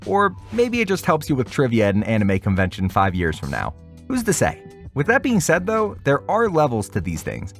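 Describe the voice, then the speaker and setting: A man's voice: medium pitch, wildly swooping pitch, moderate pace. A man delivering a scripted review as an online video host, wry and opinionated, with casual slangy asides. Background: music.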